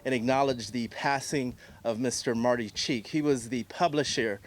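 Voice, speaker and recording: A man's voice: medium pitch, normal speed. A man, an agency chief, hosting a press conference and formally thanking speakers and guests at a podium microphone. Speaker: host, agency CEO.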